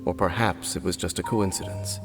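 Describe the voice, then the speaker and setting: A man playing a stoic, weary, centuries-old cursed knight in a video game, speaking in a solemn, measured and formal way. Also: deep voice, steady tone, lightly acted. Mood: troubled, brooding. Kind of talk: video game cutscene acting. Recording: long reverb, music behind.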